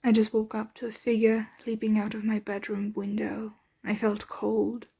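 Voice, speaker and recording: A woman voicing a gentle, motherly vampire in an ASMR roleplay, speaking softly and tenderly, often in a whisper, with genteel, coaxing phrasing. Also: medium pitch, monotone, normal speed. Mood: wistful. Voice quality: soft, breathy, often whispered. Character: gentle, motherly, wealthy female vampire soothing her captive.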